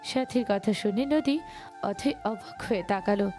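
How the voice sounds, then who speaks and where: A woman narrates a romantic story from a script. Her voice is mellow and mid-pitched, with music playing behind her. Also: measured pace.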